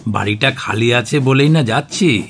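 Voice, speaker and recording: A man plays a middle-aged widowed father in a radio drama. His voice has a gravelly creak at a medium pitch. He is kindly and a little fussy, halting and doubling back on his words. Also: a little hiss or hum, lightly acted. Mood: casual, weary. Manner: hesitant, halting, repeats words. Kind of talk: Bengali radio drama acting.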